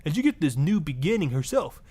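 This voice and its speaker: A man's voice: fairly high pitch, sing-song, fairly quick, warm tone, breathy edge. A man voicing a self-righteous, grandiose marriage guru with a put-on Latin accent on a parody radio talk show. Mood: earnest, dramatic. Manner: grandiose, preachy, easily offended.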